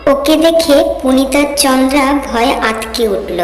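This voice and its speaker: Female horror narrator, high voice, echoing reverb over music. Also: normal speed. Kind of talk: scripted horror story narration. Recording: reverberant echo, music behind.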